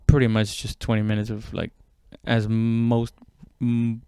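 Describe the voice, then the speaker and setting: A man chatting casually and haltingly as a comedian on his own podcast, swearing freely. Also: on the low side, completely flat delivery, dark tone, clean tone, no room sound, clean studio sound. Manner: informal, halting, heavy swearing. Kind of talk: casual rambling solo chat.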